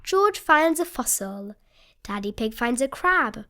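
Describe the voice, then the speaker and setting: A woman narrates a children's storybook in a high, very animated voice. She takes her time with the sentences, and there is faint noise behind her. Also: slow. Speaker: storybook reader. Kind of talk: expressive read-aloud narration for small children.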